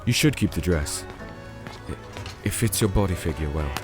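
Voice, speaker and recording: An actor playing a weary, protective crusader in a fantasy audio drama, speaking in a measured, brooding way. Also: male voice, deep voice, animated. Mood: wry. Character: disenchanted male crusader, weary, gruff, protective, narrating his tale. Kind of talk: dramatic audio drama acting and first-person narration. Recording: music playing behind.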